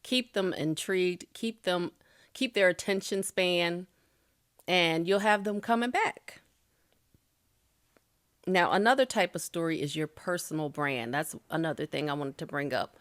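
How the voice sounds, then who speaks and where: A middle-aged woman talks through creator tips in an easygoing, chatty way, laughing lightly and restarting her sentences as she goes. Her voice sits a little low, and the recording is dry with no room sound. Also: a little slow, fairly bright tone, no echo. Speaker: YouTube creator giving tips. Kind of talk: casual spoken tutorial.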